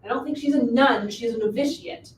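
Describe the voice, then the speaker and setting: A woman acting a bossy, scheming, animated witch who insists on steering the story, in a live reading of a comic stage play. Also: a little high, fairly quick, close to an everyday voice. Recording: distant, echoey room recording with hiss.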